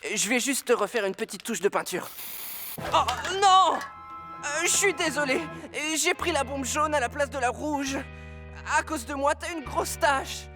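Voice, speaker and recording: A man's voice: extremely high pitch, expressive, normal speed. A young cartoon firefighter who is clumsy and tender. He speaks hesitantly, in an anxious, apologetic way. Music runs underneath. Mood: flustered, guilty. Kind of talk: French-language cartoon dub acting.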